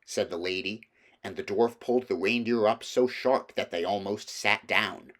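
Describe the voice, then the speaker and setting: Male audiobook reader, fairly low voice, storybook narration. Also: normal speed, a bit bright.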